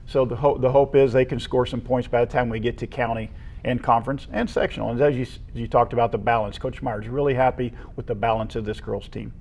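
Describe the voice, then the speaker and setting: An American man talks as co-host of a local high school sports program. He sounds casual and conversational as he reels off names and stats, in a voice of middling pitch. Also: fairly even intonation, fast talker, gravelly, a little mellow. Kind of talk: casual sports discussion, reeling off names and statistics.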